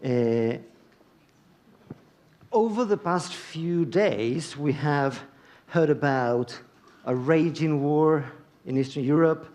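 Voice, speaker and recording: A man from Argentina, moderating a panel on a conference stage in a measured, deliberate way with pauses. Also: fairly high pitch, a little mellow.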